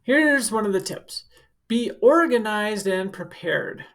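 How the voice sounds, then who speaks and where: Woman with creaky voice reading to kids. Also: on the low side. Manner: simple, instructive phrasing aimed at young children. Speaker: school counselor reading to students. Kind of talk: children's picture book read-aloud with casual explanatory asides.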